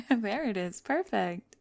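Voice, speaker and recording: A woman's voice: medium pitch, lively intonation, slow pace, vocal fry, no room sound, clean studio sound. A woman acting a famous young pop star on a date in an ASMR roleplay, confiding and hesitant, kind and playful but world-weary. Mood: sweet, teasing. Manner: confiding, hesitant, playful, trailing into soft murmurs. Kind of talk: intimate ASMR roleplay audio, one-sided date conversation.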